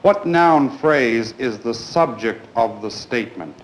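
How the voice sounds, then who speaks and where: A man with a mid-pitched voice leads a school grammar class. He is polite and deliberate, directing questions and instructions to pupils. The room echoes around him and there is noticeable noise on the recording. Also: expressive.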